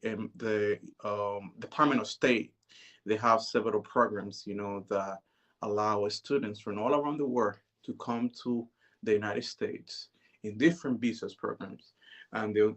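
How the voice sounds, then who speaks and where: A man in his thirties with a fairly low voice. He talks through his life story in a relaxed, unhurried way, pausing often and leaning on fillers. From Dominican Republic. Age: 30s. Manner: relaxed, hesitant, many "you know"s. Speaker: interview guest.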